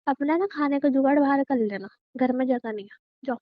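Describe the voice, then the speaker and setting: A woman's voice: high voice, a little fast, no echo, lightly acted. A young woman playing a bossy, teasing wife who nags her husband insistently in a naturalistic phone-call skit. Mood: bossy, dismissive. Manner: nagging, insistent, repeats herself. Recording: dull, lo-fi phone call recording.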